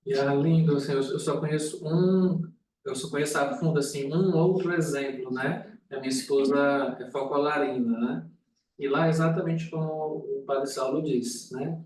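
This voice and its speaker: A man making informal, halting remarks at a meeting, often correcting himself. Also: on the high side, fairly even intonation, darkish tone, a little hiss or hum. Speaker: meeting participant. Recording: echoey room, distant mic.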